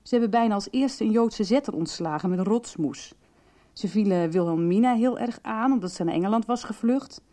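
An actress playing a calm, understanding woman who investigates and explains in a conversational Dutch radio soap. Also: mid-range voice, brisk, natural voice. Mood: engaged, explanatory.

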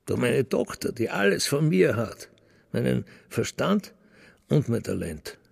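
An actor playing a bitter, contemptuous old businessman father telling his story in a scornful, deliberate radio-drama monologue. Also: medium pitch, very animated, full character voice. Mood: bitter disappointment. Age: older. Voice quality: gravelly, creaky.

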